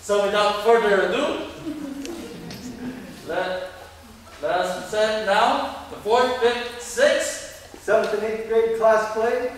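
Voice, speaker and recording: Male presenter, higher-pitched voice, addressing an audience live from far off in a reverberant hall. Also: steady tone, slow pace. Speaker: presenter introducing a school play. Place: large echoey room, recorded from the audience. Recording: echoey hall, distant mic, faint noise.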